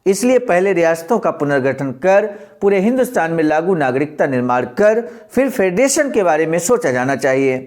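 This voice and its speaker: High-pitched, animated man reading a speech. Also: moderate pace, few pauses. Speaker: presenter reading a historical speech aloud. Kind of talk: expressive read-aloud of a political speech.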